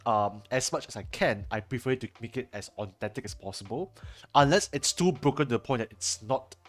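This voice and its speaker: A man's voice: mid-range voice, a little fast, faint music behind. A young man with a Singaporean accent chatting casually to his stream viewers, rambling with lots of fillers and restarts. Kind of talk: casual chat answering viewer chat while editing. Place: home room, indoors. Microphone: headset.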